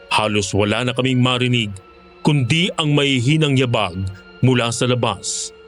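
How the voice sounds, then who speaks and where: Male narrator, mid-pitched, grave and measured, for scripted horror drama with music underneath.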